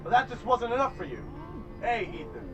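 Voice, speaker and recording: An actor playing a cruel gang enforcer who delivers calm, taunting, deliberate threats in a dramatic film. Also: male voice, extremely high pitch. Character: menacing, coolly cruel male gang enforcer. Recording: distant mic under loud music.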